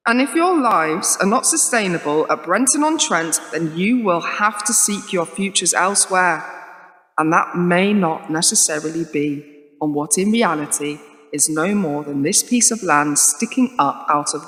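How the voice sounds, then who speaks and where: Principled challenger in an echoey hall. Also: female voice, lowish voice, fairly bright tone. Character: principled, challenging adult heir to a political consultancy. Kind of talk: radio drama acting. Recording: echoey hall with long reverb.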